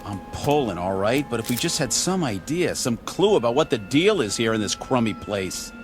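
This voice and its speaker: A man's voice: medium pitch, sing-song, normal speed, soft background music, natural voice. An actor playing a brash, jittery space crewman in an audio drama, slangy and wisecracking. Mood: frustrated.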